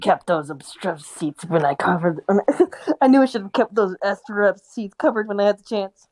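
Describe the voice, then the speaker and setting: A man's voice: high-pitched, normal speed, darkish tone, no echo, pitched above the performer's own voice. A man voicing a grumbling old grandfather from a cartoon in an amateur table read. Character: grumbling old grandfather driving a camper van.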